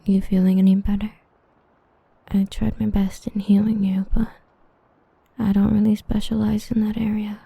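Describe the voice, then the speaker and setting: A woman playing an obsessive, protective villain with destructive powers, whispering softly and possessively into a close mic in an ASMR roleplay. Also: mid-range voice, level tone, moderate pace, vocal fry, husky, no echo. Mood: tender.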